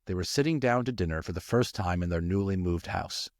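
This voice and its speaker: A man's voice: low-pitched, brisk, dry recording, clean studio sound. A man narrating a story expressively, as an audiobook reader.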